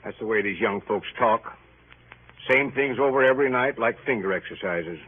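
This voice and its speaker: A man's voice: fairly low pitch, normal speed, slightly rough. A man playing a grumpy, exasperated middle-aged man who grumbles to himself in old radio comedy. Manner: muttering, grumbling. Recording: tinny, noisy old radio broadcast.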